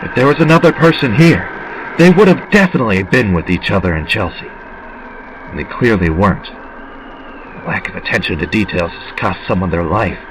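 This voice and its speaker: A man's voice: on the low side, lively intonation, normal speed, a little hiss or hum, natural voice, poor audio. A man playing an earnest, desperate survivor hunting a killer in an audio drama, dramatically thinking aloud. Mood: grim, brooding.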